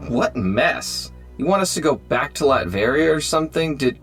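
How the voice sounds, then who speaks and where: A man's voice: fairly high pitch, lively intonation, normal speed, a bit bright, soft background music. An actor playing an earnest, confused young male superhero in an audio drama.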